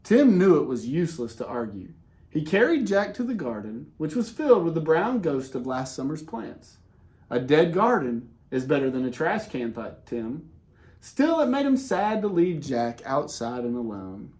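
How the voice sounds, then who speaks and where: A man reading a children's picture book aloud in an expressive way, with dramatic pauses and casual, conversational delivery. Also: fairly high pitch. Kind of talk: animated read-aloud with casual asides.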